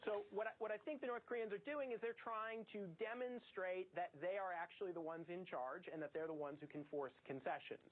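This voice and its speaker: Guy analyst doing a news interview, highish pitch, tinny line. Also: brisk, few pauses. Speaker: expert commentator. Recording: tinny phone-like audio.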